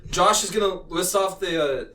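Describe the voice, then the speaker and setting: A man's voice: high-pitched, moderate pace, pushed and bright. A man co-hosting a podcast in casual, joking, crude banter with friends, speaking at a distance from the microphone. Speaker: podcast co-host. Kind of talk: casual comedic chat among friends. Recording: distant from the mic.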